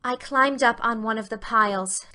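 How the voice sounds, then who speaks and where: A spirited teenage girl as a woman reader plays her in an audiobook. Her voice is bright and fairly high, and she speaks with earnest, theatrical emphasis. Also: normal speed. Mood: weary. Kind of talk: audiobook character acting.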